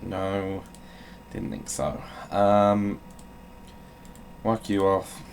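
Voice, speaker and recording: A man's voice: sits low, drawn-out words, creaky, darkish tone, slight background noise. A man hosting with a dry, self-mocking manner, moving between theatrical storybook reading and casual, sweary rambling. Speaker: host of a gaming channel.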